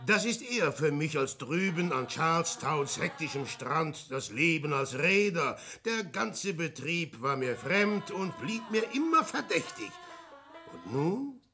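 Boastful adventurer, wildly swooping voice. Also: male voice, a little high, very animated, a little slow, faint music behind, exaggerated voice. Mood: smug musing. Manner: declamatory, swinging from hushed musing to crowing. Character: boastful, lecherous, self-deluding adventurer posing as a prophet. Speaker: actor. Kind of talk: theatrical verse radio drama acting.

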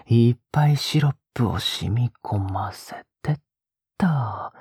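A male actor playing a possessive young captor in an intimate audio drama, coaxing softly and often slipping into a whisper. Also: medium pitch, measured pace, no room sound, studio quality. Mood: doting, obsessive. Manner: soft, coaxing, often dropping to a breathy whisper. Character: possessive, sickly-sweet young male captor doting on a pet-like girl. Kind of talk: intimate audio drama acting, spoken to the listener.

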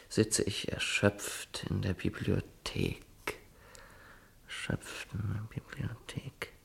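An actor playing a languid, teasing young brother in a German radio drama, narrating and chatting with easygoing irony. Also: male voice, fairly low pitch, creaky. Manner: ironic, leisurely.

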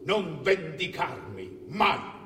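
In a distant, reverberant hall, the ghost of a dead king. The voice sits high for a male and moves slowly, declaiming with solemn, fatherly pleading in a stage-drama style. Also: sing-song, a bit bright, full character voice. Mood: solemn, imploring. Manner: slow, emphatic, declamatory. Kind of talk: theatrical stage acting. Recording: distant echoey hall, faint noise.

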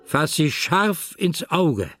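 An actor playing a solemn Stoic philosopher-emperor, reciting maxims in a declamatory manner in an audio drama. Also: male voice, fairly high pitch, sing-song, music in the background. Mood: solemn, exhorting. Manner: slow, declamatory.

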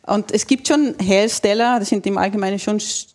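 A middle-aged woman with a Dutch accent, an ergonomist explaining her work in a relaxed interview and laughing easily. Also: mid-range voice, a little fast. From Netherlands. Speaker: ergonomist, guest. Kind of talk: casual explanatory chat.

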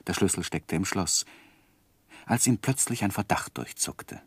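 Literary radio play narrator, really low voice, measured but animated intonation, dry close sound. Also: male voice, husky, dry recording, heavily acted. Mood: composed suspense. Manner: measured, articulate. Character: composed male narrator recounting his own life story. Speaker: first-person narrator. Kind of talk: scripted literary narration in 1960s German radio drama.

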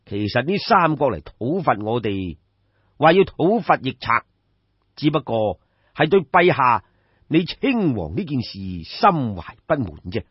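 A man with a Cantonese accent voicing a composed, shrewd court adviser of ancient China, measured and deferential, in an audio story. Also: medium pitch, very animated, husky, dry recording, lo-fi sound.

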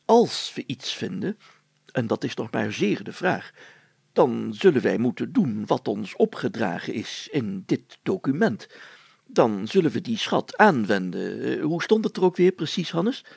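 Man playing a pompous, gravel-voiced village mayor. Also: medium pitch, sing-song, a little mellow, dry recording, pitched below the performer's own voice, full character voice. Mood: assured, self-important. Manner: halting, hemming, then solemnly declaiming archaic text. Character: pompous village mayor reading old documents aloud, self-important, deliberate.

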